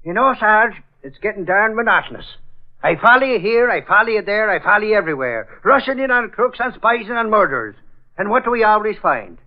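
An actor in 1940s radio style playing a talkative, grumbling comic sidekick with a folksy manner. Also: male voice, high-pitched, big pitch swings, fairly quick. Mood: exasperated. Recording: muffled 1940s radio recording.

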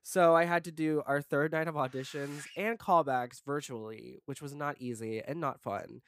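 A man's voice: a little high, moderate pace, a little hiss or hum. A young man with a congested voice, chatting casually as a podcast co-host and rambling with lots of "like" and "um.". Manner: rambling, heavy on "like" and "um". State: congested from illness. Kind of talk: casual chat.

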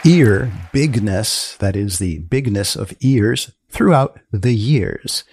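A man hosting a science humor podcast, interviewing in a deliberate, deadpan way. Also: lowish voice, breathy edge, dry recording, studio quality, a faint crowd. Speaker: podcast host.

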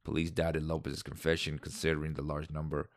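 Deep-voiced man reading and ranting. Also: flat delivery, a little fast, no room sound, clean studio sound. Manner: indignant, rambling asides with restarts. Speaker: podcast host. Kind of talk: reading aloud and commenting, casual.

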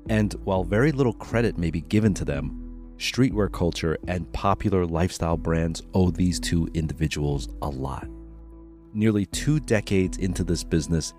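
A man with a low voice hosts a studio podcast, reading a polished scripted introduction and then chatting casually with his guests. Music plays clearly under his intro. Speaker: podcast host. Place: New York studio.

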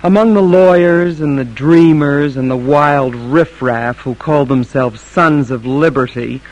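Strong-willed colonial wife, middle-aged, anxious, protective, emphatic repeated phrases, old radio drama. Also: female voice, low voice, a little slow, a little mellow, poor audio. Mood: wry, reflective.

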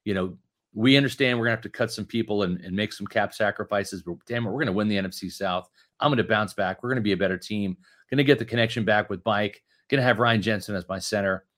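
A man's voice: fairly low pitch, quick pace, a bit bright. Man in his fifties, musing sports talk. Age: 50s. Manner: musing, thinks aloud with restarts. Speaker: podcast co-host.

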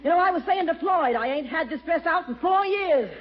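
An actress playing a loud, teasing working-class wife who laughs a lot and talks ungrammatically, in 1940s radio comedy style. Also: female voice, extremely high pitch, lively intonation, normal speed. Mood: brassy. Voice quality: brassy, cackling, ungrammatical. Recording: muffled old radio broadcast with hiss.

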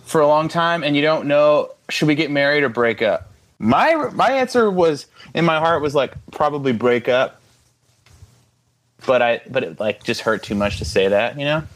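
A man's voice: on the high side, expressive, moderate pace. A man, a band member guest, speaking hesitantly and reflectively in casual chat. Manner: hesitant, searching for words.

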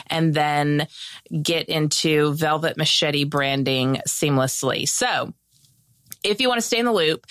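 A woman's voice: deep voice, a bit bright, no echo, studio quality. A woman hosting her own business podcast, speaking in an upbeat, emphatic way, sounding nasal from a head cold. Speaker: podcast host. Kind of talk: casual solo podcast talk.

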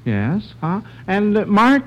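A man's voice: high-pitched, huge pitch swings, slow, rough recording. Folksy American man hosting a radio quiz. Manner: encouraging, folksy, repeats and affirms answers. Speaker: quiz show host with a children's panel.

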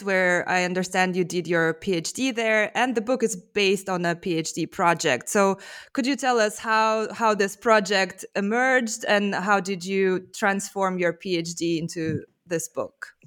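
A woman's voice: mid-range voice, measured pace, fairly bright tone, clean tone. Woman, interview host, polite, scripted intro, uh fillers. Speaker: podcast host. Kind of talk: interview hosting.

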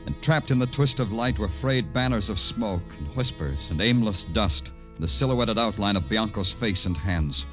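An actor playing a world-weary police detective, terse and dry, in old-time radio noir style. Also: male voice, on the low side, expressive, normal speed, few pauses, a bit bright, faint music behind. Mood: brooding.

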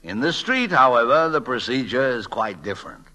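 Older male telegraph operator: grandiloquent, formal, bright-voiced, vintage radio drama. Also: medium pitch, lively intonation, moderate pace. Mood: grave, dignified. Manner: florid, grandiloquent, courtly phrasing. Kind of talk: 1940s-50s radio drama acting.

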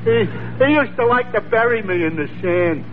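An actor playing a dim-witted, good-natured Brooklyn man, slow on the uptake and hesitant, in a 1940s radio comedy. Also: high voice, expressive. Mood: earnest, dopey. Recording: tinny, noisy 1940s radio recording.

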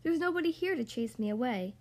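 A woman voicing a timid, lonely young duckling in a children's story, speaking quietly and wistfully. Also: high voice, animated, fairly quick.

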